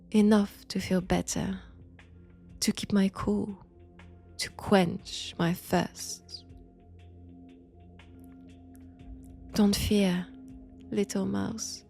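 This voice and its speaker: Woman playing a slow, creaky-voiced French-accented vampire. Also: medium pitch. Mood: hungry, seductive. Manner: slow, hushed, intimate, often slipping into whispers. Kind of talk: roleplay audio monologue.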